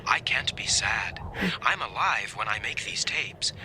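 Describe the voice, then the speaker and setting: A man's voice: fairly low pitch, lively intonation, measured pace, bright, forceful tone, a little hiss or hum, heavily acted. An actor playing a taunting young man in his twenties, a cruel recorded self in a radio drama, speaking with theatrical, mocking emphasis. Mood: cool, detached. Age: 20s. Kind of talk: old-time radio drama acting.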